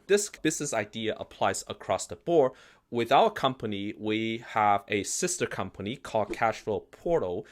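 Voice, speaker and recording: Founder type, Chinese native speaker, animated and emphatic, mid-pitched man. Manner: animated, emphatic, acts out quoted reactions. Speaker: investment company founder. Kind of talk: casual explainer talk.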